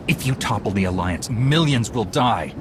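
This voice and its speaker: Man acting a low, expressive heroic commander. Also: normal speed, background noise, lightly acted. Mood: pleading. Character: heroic male Jedi commander, betrayed, earnest, resolute. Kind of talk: cinematic video game voice acting.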